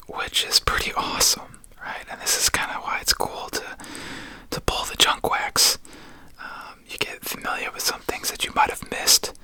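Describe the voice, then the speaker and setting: Whispering ASMR host, soft and casual. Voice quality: unvoiced whisper throughout. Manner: casual, halting, conversational. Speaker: video host. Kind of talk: whispered ASMR card-opening chat.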